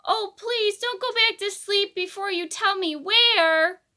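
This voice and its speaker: A woman voicing an anxious, tearful mother kangaroo who pleads in a high, strained voice. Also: expressive, takes it slowly, creaky, fairly bright tone, full character voice. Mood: desperate, shouting.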